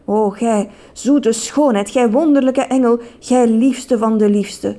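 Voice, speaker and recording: A woman's voice: fairly high pitch, takes it slowly, mellow. A woman voicing a lovestruck man who declares his love in grand, clumsy rhymes. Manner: grandly poetic, stumbling over rhymes. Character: flowery, courtly man in love, mustached suitor.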